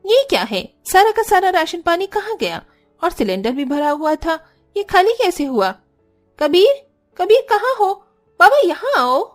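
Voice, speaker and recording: A woman's voice: extremely high pitch, big pitch swings, a little slow, faint music behind, voice pushed up, exaggerated voice. A woman voicing a haughty, quarrelsome sister-in-law in a cartoon story, with a taunting, scornful manner. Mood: demanding. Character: haughty, spiteful elder sister-in-law, housewife. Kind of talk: exaggerated Hindi cartoon story acting.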